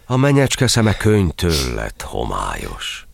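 A man with a deep, creaky voice narrates a dramatic tale in verse. His delivery is solemn and theatrical, with wide swoops of pitch. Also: wildly swooping pitch, dry recording.

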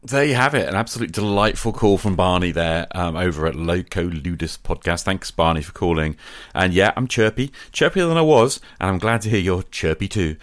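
A man's voice: deep voice, a little fast, no room sound. A man hosting a podcast in an informal, chatty, appreciative way, talking straight to his listeners and callers. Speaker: podcast host. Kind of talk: casual chatty podcast outro.

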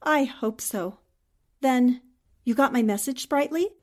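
A woman's voice: high-pitched, takes it slowly, a little mellow. A woman playing a plucky, emotional newspaperwoman heroine, earnest and dramatic in 1940s radio style. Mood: earnest relief.

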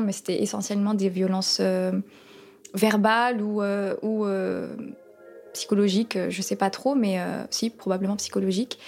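A woman's voice: medium pitch, darkish tone. Hesitant young woman in her twenties. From France. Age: 20s. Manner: hesitant, many pauses and fillers. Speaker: interviewee. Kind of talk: interview answers.

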